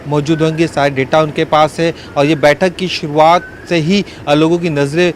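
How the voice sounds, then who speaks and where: A male field reporter with a fairly high voice reports live and unscripted, halting and correcting himself as he goes. Faint outdoor traffic noise sits behind him. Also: little pitch movement.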